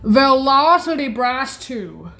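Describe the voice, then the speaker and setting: A woman demonstrating keyboard sounds, naming instrument presets haltingly in short phrases. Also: high voice, sing-song, pushed and bright. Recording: roomy echo, keyboard music.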